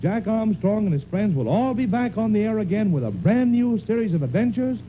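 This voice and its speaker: High-pitched animated vintage radio announcer man. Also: a little fast. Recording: dull, noisy vintage radio recording.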